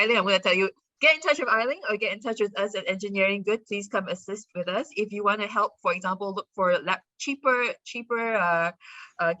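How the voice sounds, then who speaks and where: A woman hosting an online event as organizer, brisk and upbeat as she gives announcements. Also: mid-range voice, pushed and bright, dry recording, rough recording.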